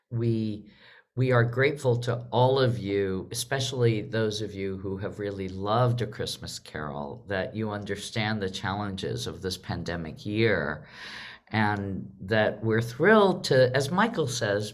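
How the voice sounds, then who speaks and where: A woman moderating a live online discussion about theater, speaking in a measured, reflective way. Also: extremely low pitch.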